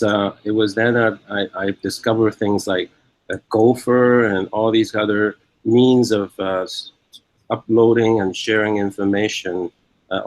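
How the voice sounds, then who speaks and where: A man in his fifties with a Hong Kong accent speaks in a fairly low voice. He answers interview questions in a measured, explanatory way, working out his thoughts aloud. The sound is close and dry. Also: no room sound, low-quality recording. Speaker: academic interviewee.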